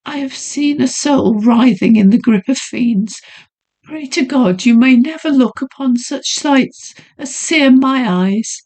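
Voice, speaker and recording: A woman's voice: high-pitched, takes it slowly, darkish tone, voice pushed up, poor audio. A woman voicing a haunted young noblewoman who pleads breathlessly and trembles with fear. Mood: fearful sorrow. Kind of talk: audiobook character voice.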